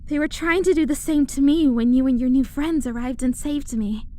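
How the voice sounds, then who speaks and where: A woman's voice: sits high, normal speed, warm tone, close to an everyday voice. A woman playing an earnest young alien princess, formal and gracious, in a comic-book audio drama. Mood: grateful.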